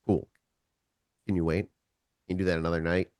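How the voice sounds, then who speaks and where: A man playing a nervous, well-meaning ordinary human in a tabletop game, talking casually in a halting, stammering way. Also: low voice, little pitch movement, darkish tone, smooth, dry recording, clean studio sound, lightly acted. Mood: uneasy, coaxing.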